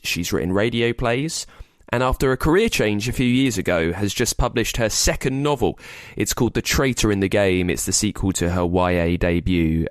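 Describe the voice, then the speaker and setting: Low-voiced man hosting a podcast, close and dry. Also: no room sound. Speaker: podcast host. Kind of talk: conversational scripted podcast introduction.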